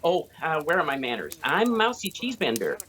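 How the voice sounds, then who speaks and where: Polite stranded magician, male role, female actor: hesitant, apologetic, lowish, animated pitch. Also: fairly quick, exaggerated voice. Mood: sheepish. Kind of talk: radio play acting.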